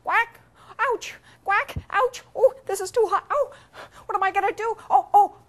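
Woman voicing a high, loud, plucky quacking duck. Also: lively intonation, normal speed. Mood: panicked pleading. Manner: exclamatory, repetitive quacking and chanting. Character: plucky duck, insistent, quacking, panics easily.

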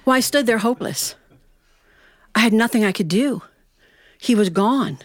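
American lady storyteller doing a funny tall tale, highish voice, lots of dramatic pauses, pretty dry sound. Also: no echo. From Ohio, United States. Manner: animated, dramatic pauses and drawn-out words. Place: studio in front of an audience.

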